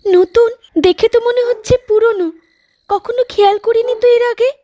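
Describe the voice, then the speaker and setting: A woman's voice: extremely high pitch, fairly even intonation, normal speed, warm tone, highs cut off. A woman voicing an anxious young village pupil in a horror cartoon. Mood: uneasy, curious. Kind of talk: dubbed horror cartoon dialogue. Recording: music under the voice.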